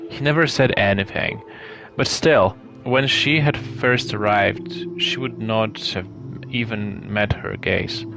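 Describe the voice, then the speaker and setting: A man reading a story aloud live as narration, in a measured way with some hesitations and stumbles. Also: fairly low pitch, poor audio. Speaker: streamer reading a visual novel aloud. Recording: clear background music behind the voice.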